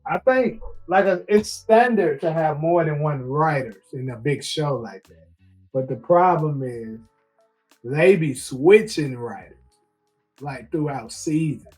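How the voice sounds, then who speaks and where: A man co-hosting a casual TV show discussion, relaxed and quick to laugh, reading out viewer comments. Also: a little high. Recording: faint music behind.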